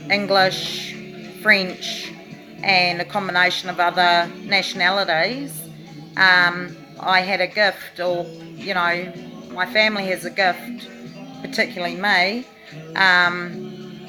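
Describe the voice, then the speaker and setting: A woman speaks to camera in a loose, rambling way, often pausing on fillers. Her voice sits on the low side and she takes her time. Music plays clearly behind her. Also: low-quality recording. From New Zealand. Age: 40s. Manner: rambling, many fillers and restarts. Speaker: vlogger. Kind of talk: casual talking to camera.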